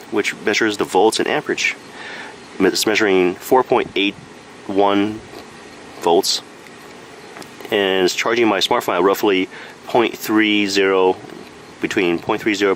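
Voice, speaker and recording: A man with a fairly low voice talks through a gadget demonstration. He is matter-of-fact and explanatory, like a casual reviewer, with a noticeable steady hum behind him. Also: poor audio. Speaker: product reviewer. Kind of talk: casual hands-on product review narration.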